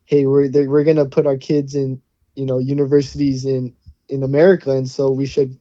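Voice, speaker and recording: Young man from hawaii, podcast guest, very mellow dark tone, flat delivery, dull dry sound. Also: medium pitch, a little fast, no room sound. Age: young adult. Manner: easygoing, many fillers and restarts. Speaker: guest, college football player. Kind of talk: casual interview answers.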